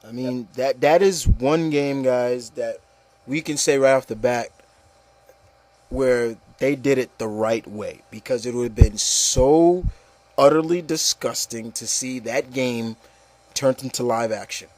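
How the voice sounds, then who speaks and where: A man's voice: mid-range voice, a little slow, darkish tone, no echo. A man hosting a casual, opinionated discussion, talking emphatically and repeating himself with plenty of "you know". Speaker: host of an opinion show.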